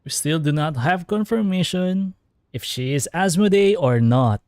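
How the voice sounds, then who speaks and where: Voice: medium pitch, animated, measured pace, darkish tone, no room sound, clean studio sound. Chatty streamer musing over a game. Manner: chatty, rambling fan theorizing. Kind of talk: casual gameplay commentary. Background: game music.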